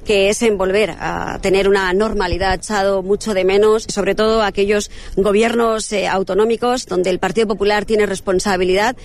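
A woman giving a press statement, halting, with frequent filler sounds. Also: mid-range voice, fairly even intonation. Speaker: party spokesperson.

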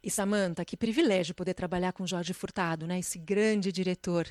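A woman's voice: on the low side, steady tone, normal speed, darkish tone, no room sound. Woman interviewer with a dry, close sound. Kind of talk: conversational broadcast interview.

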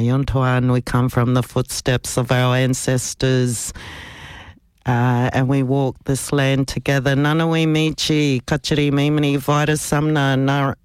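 Aussie guy hosting community radio, slow n deliberate, smooth voice, really dry studio sound. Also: on the high side, no echo. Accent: Australian. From South Australia. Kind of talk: live radio welcome and acknowledgement of country.

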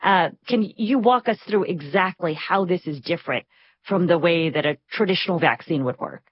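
A woman's voice: fairly low pitch, moderate pace, husky, no room sound, lo-fi sound. A woman hosting an interview in a conversational style, asking questions of a guest. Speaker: interviewer, host.

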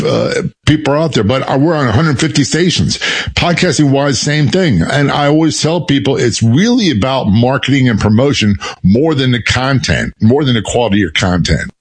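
A male radio host with a husky voice, pitched in the middle of the range. He runs quickly through promotional lines and guest intros, then asks questions in a conversational way. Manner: promotional, reads intros quickly with some fillers. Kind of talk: radio interview.

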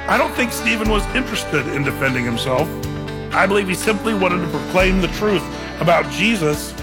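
A man's voice: high voice, lively intonation, a little fast. A middle-aged man preaching a sermon in a casual, rambling way, full of "man" and "you know". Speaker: pastor. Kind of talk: sermon with storytelling. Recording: long hall reverb, faint music.

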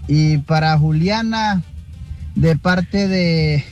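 Casting: male caller on a dull line, slow teasing greeting. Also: fairly high pitch, a little mellow, faint music behind. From United States.

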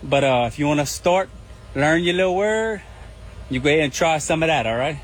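A man with a Louisiana accent co-hosting a radio show, talking in an easygoing, drawn-out way. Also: fairly high pitch, animated, background noise. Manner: easygoing, drawn-out, says y'all. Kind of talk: casual on-air chat.

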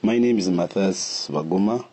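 A man telling his own story in a steady, matter-of-fact way. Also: sits low, moderate pace, slightly rough. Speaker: community leader. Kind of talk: personal testimony.

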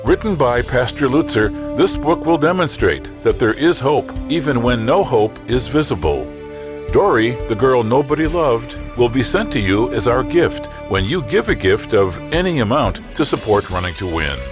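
Male radio announcer, lively inflection, music bed underneath. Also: mid-range voice. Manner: measured, smooth announcer delivery. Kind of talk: scripted broadcast announcement.

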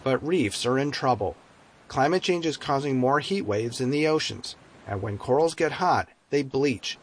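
A man narrating a short scripted radio segment in a measured, informative way. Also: medium pitch.

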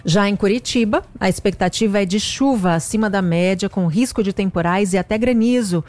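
A woman's voice: medium pitch, brisk, a little mellow. A woman anchoring a live morning news show, reading the weather in an informal, chatty way with casual asides.

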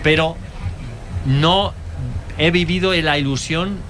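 A man from Barcelona with a light Catalan accent, chatting as a team guest in a measured way, pausing often on fillers. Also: a little high, fairly bright tone, a little hiss or hum. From Barcelona, Spain. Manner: measured, hesitant with many fillers. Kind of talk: casual interview chat.

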